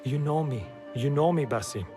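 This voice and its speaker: An actor playing a shady, self-justifying informant in a video game, smooth-talking and evasive. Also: male voice, on the high side, moderate pace, music in the background, lightly acted. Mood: evasive, earnest. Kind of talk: dramatic game cutscene acting.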